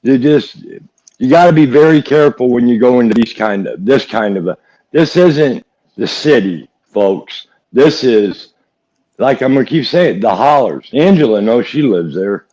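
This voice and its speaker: A man's voice: medium pitch, expressive, moderate pace, dark tone, poor audio. A man from West Virginia co-hosting a live true-crime chat, folksy and repeating himself for emphasis. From West Virginia, United States. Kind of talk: casual opinionated chat.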